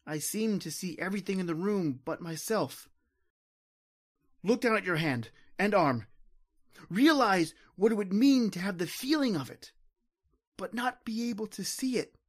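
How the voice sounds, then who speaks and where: A woman playing an anguished disembodied soul in a melodramatic old-time radio horror style. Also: mid-range voice. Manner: melodramatic, impassioned. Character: anguished disembodied soul trapped in purple twilight, pleading.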